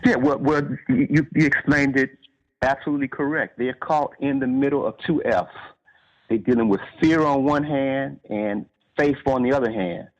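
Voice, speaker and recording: A man answering interview questions as a guest author, explaining in measured, conversational phrases with pauses. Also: mid-range voice, muffled recording.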